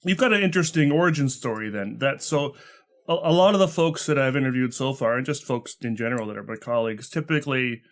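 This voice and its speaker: A man's voice: mid-range voice, fast talker. Rambling man hosting a podcast. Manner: rambling, many you-knows and restarts. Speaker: podcast host. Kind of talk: casual interview talk.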